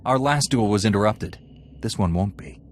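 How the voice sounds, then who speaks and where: An actor playing a hot-tempered, vengeful Jedi warrior with a blunt, assertive manner in a game. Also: male voice, on the low side, expressive, normal speed, slight background noise, natural voice. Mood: hostile, determined.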